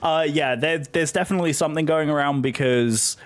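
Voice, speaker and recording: Hoarse British man streaming, higher voice. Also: fairly quick. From Britain. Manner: chatty and effusive, thanking viewers. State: hoarse, voice still recovering. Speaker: live streamer. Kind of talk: casual live-stream chat with viewers.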